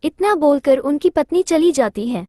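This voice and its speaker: A woman narrates a scripted story for children. Her voice is high in pitch, and the recording is very dry, with no room sound. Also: expressive, normal speed, dark tone, no echo.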